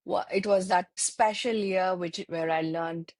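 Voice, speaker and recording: A middle-aged woman from India speaking as an interview guest on a video call, thoughtful and measured, pausing often with fillers. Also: on the low side, fairly even intonation, darkish tone, no echo. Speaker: interview guest, tech channel lead.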